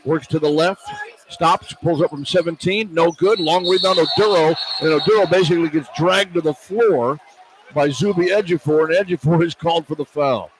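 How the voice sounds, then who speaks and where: A man's voice: a little high, moderate pace, background noise. A man working as a play-by-play announcer, giving live radio commentary on a basketball game. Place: indoor basketball arena.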